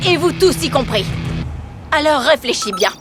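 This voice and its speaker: A menacing corporate villainess in a cartoon, pompous and condescending. Her voice sits fairly high, over prominent music. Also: lively intonation, moderate pace. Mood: pompous threatening. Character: haughty, menacing villainess, boss of an evil corporation. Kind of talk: animated cartoon voice acting. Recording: loud background music.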